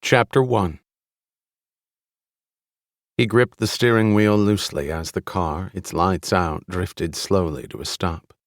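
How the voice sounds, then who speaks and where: An American man narrating an audiobook from a script, with deliberate, suspenseful, dramatic storytelling. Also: sits low, no room sound, clean studio sound.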